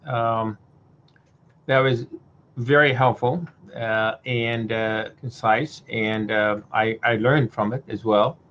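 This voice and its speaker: A man in his sixties from Syria, hosting a webinar Q&A, talking hesitantly with many pauses and fillers. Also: mid-range voice, steady tone, slight background noise. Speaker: webinar host. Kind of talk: casual remarks in Q&A. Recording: dull lo-fi audio.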